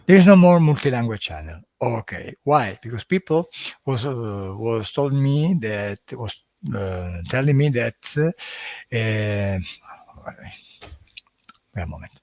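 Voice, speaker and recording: A man with an Italian accent hosting a live stream, talking in a halting way with many fillers and restarts. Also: mid-range voice, animated, darkish tone, dry recording. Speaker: hypnosis channel host. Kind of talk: casual livestream talk.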